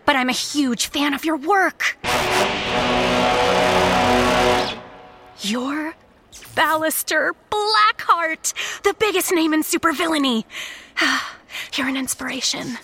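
A woman playing a brash, excitable teenage girl, a shapeshifting sidekick in an audiobook drama, cheeky and casual. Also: high voice, takes it slowly, noisy background, exaggerated voice. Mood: fawning. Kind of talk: full-cast audiobook drama.

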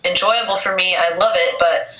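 A young woman with a cold talks about her writing in loose, easygoing chat, peppering her sentences with "like". Her voice is bright but sits a little low. The room is echoey, with a faint hiss behind her. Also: steady tone, moderate pace. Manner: casual, lots of filler "like". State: has a cold, losing her voice. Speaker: poet, interview guest. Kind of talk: casual interview chat.

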